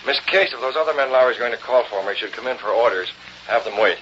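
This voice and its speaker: Guy playing a bossy newspaper publisher in an old radio drama, sharp lively voice, faintly noisy recording. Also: medium pitch, muffled recording, lightly acted. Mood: commanding. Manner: brisk, authoritative. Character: decisive, commanding male newspaper boss. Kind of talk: 1930s-40s radio acting.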